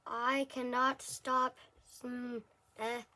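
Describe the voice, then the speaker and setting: A woman's voice: a little high, little pitch movement, extremely slow, background noise. A woman reading a children's picture book aloud word by word, stumbling and correcting herself as she goes. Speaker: story reader.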